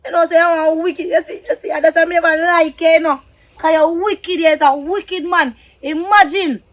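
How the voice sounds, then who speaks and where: A woman's voice: sits high, moderate pace, a bit bright. A woman with a Jamaican accent playing a feisty, scornful lover in a drama, scolding and repeating her words for emphasis. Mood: outraged, scolding.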